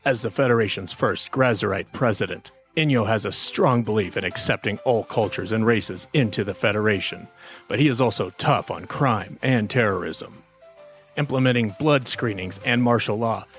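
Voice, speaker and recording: A man's voice: fairly low pitch, fairly bright tone, close to an everyday voice. Man doing a solemn campaign ad announcer over music. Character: solemn, promotional male political campaign ad narrator. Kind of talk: parody political ad narration.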